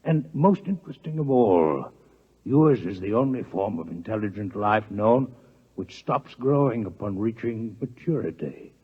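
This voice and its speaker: An actor playing a courteous, scholarly alien doctor in a 1950s radio drama, speaking formally, precisely and gravely in a husky voice. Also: male voice, lowish voice, lively intonation, unhurried. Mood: grave, fascinated. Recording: muffled vintage 1950s radio recording.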